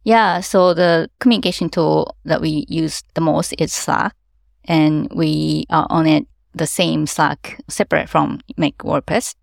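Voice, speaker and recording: A woman's voice: sits low, fairly even intonation, measured pace, gravelly, dry recording, clean studio sound. A woman whose first language is Japanese, answering interview questions in careful non-native English as an event organizer, with light laughs as she explains.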